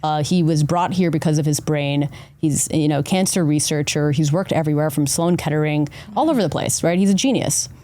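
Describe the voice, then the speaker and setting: A woman hosts a podcast and tells her story earnestly, often checking in with "right?" Her voice is very low and warm. The sound is dry and close. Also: fairly quick, hardly any pauses, no room sound. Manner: earnest, animated storytelling with many "right?" tags. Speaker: podcast host. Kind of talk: casual podcast conversation.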